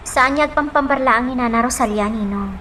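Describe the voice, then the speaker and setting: A woman's voice: on the high side, a little fast, pushed and bright, a little hiss or hum. A woman acting a caring, insistent young lady in an Ilocano radio drama, earnest and questioning, with a Filipino accent. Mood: questioning, concerned.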